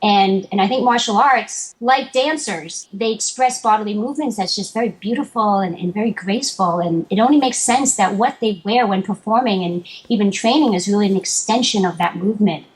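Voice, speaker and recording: A woman guest in a casual interview, soft-spoken, laughing often and restarting her sentences. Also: medium pitch, fairly quick, few pauses, breathy edge, poor audio.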